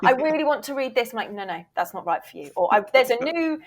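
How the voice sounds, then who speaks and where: A woman's voice: a little high, lively intonation, quick pace. A woman talking casually as an interview guest, explaining things in a candid, emphatic way and sometimes interrupting herself. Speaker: voice reel producer, interview guest.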